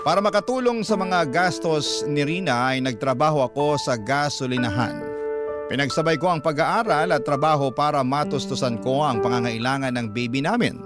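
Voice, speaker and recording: Filipino male narrator over loud music. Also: fairly high pitch, normal speed. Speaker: narrator reading a letter-sender's story. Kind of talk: dramatic scripted radio narration.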